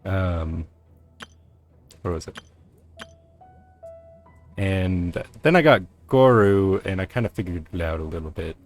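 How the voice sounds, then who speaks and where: Male streamer, lowish voice, hesitant think-aloud game chat with soft music behind. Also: expressive, slow pace, long pauses. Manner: low-key, musing aloud, hesitant. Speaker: gaming streamer. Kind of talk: casual game commentary. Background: faint game music.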